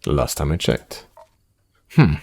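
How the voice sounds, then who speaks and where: A man voicing a calm fantasy innkeeper in a game, measured, dry-witted and reassuring. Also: a bass voice, creaky, voice pushed down, full character voice. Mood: dry, courteous.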